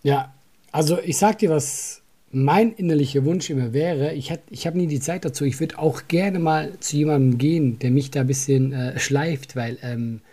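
A man's voice: on the high side, moderate pace, warm tone. A man whose German has a slight Swiss influence, mumbling a little and joking about himself in a casual podcast chat. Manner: self-deprecating, mumbly. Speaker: podcast host.

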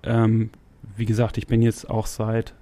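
A man's voice: fairly low pitch, monotone, measured pace, dark tone. Man chatting loosely as a podcast guest. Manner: loose, slangy, rambling with laughs. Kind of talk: casual podcast chat.

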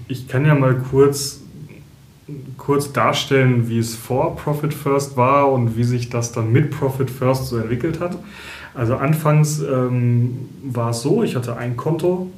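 A man as an interview guest, explaining calmly and steadily with some hesitations. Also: mid-range voice, roomy sound. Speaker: interview guest, energy consultant. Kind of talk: podcast interview.

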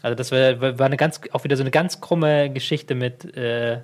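A man's voice: medium pitch, steady tone, normal speed. A man with a mid-range voice co-hosts a casual football chat. He speaks hesitantly, full of fillers, and openly admits gaps in his knowledge. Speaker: football podcast co-host.

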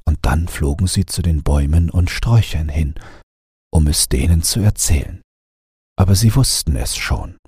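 A man reading a bedtime story as an audiobook narrator, in a gentle, measured manner. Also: extremely low pitch, breathy edge, no room sound, studio quality.

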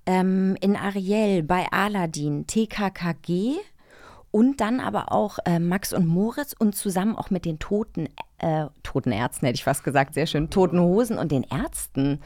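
Casting: female co-host, slightly low register, informal chatty delivery, close dry recording. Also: moderate pace, a little mellow, no room sound. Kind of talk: casual chat.

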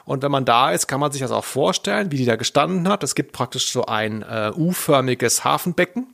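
A man's voice: mid-range voice, animated, brisk, creaky, a bit bright, no echo, clean studio sound. A man co-hosting a podcast, chatting casually. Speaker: podcast co-host. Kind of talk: casual chat.

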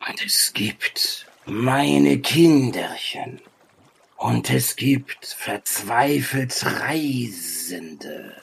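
An ancient reptilian sage from a fantasy tabletop game. He speaks extremely slowly and pompously, in a husky, creaking voice. He sounds arrogant and weary of the world. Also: medium pitch, animated, exaggerated voice. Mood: solemn. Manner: slow, drawn-out, pompous delivery. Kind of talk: in-character tabletop roleplaying.

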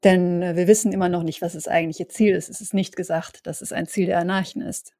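A woman playing a shrewd, calm vampire in an audio drama, speaking in a measured, thoughtful way. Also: lowish voice, dry recording, studio quality, lightly acted. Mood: thoughtful, polite. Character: shrewd, calm female vampire with criminal contacts. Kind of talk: improvised role-play audio drama dialogue.

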